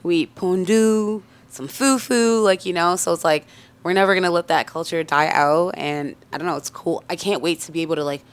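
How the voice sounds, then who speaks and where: A woman's voice: on the low side, moderate pace, smooth. A young woman from Canada, a singer whose first language is French, chatting casually in an interview and laughing easily.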